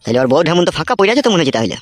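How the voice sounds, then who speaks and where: Man playing a fast-talking, crude cartoon gangster dog boss. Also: on the high side, very animated, soft background music. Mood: scheming, annoyed. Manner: coarse, swearing, grumbling. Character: bossy, crude male gangster dog, lecherous and sulky. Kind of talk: comic cartoon dialogue.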